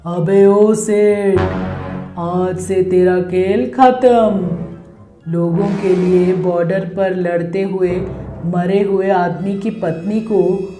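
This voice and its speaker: A woman voicing a vengeful ghost in a children's cartoon story, delivering menacing, drawn-out threats. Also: on the low side, fairly even intonation, warm tone, pitched below the performer's own voice. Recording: echoey, music behind.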